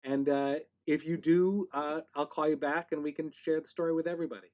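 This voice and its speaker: A man with a somewhat high voice hosts an interview. He talks casually, with a wry, joking manner. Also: fairly even intonation, a little fast, darkish tone. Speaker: podcast interviewer, host.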